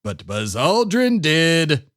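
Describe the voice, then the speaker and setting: A man playing a pompous, excitable embodiment of America in a comedy audio drama, with theatrical, emphatic, formal delivery. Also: a little high, slow, no room sound, clean studio sound, exaggerated voice. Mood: bombastic, declaiming. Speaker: podcast host and presenter. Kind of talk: comedy audio drama, mock-patriotic announcing.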